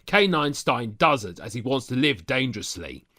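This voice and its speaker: Animated man narrating with sardonic asides. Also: medium pitch, moderate pace. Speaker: narrator of a fan audio story. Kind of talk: scripted comic recap narration.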